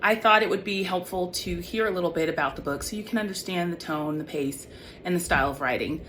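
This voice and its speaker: A woman with a fairly low voice reads as an author presenting her own fiction. She speaks in an audiobook style, and there is some faint noise in the recording. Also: fast, a bit bright. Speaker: author reading own book. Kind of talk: audiobook-style reading of young adult fiction. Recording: clearly noisy background.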